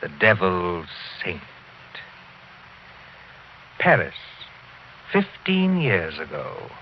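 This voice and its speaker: A man's voice: low-pitched, huge pitch swings, drawn-out words, noisy background, exaggerated voice. A man playing a mysterious, ominous host, narrating a 1940s radio suspense series in a theatrical, portentous way. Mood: evocative, nostalgic. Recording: muffled old radio broadcast with music.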